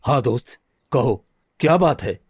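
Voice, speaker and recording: A man playing a gentle, anxious village woodcutter in a cartoon story, speaking earnestly and hesitantly. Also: medium pitch, lively intonation, unhurried, husky, dry recording, studio quality. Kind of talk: scripted Hindi cartoon story dialogue. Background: background music.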